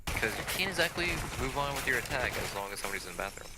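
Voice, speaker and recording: A man giving measured, explanatory analysis as a colour commentator on a live video game match. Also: mid-range voice, big pitch swings. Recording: clearly noisy signal with clicks.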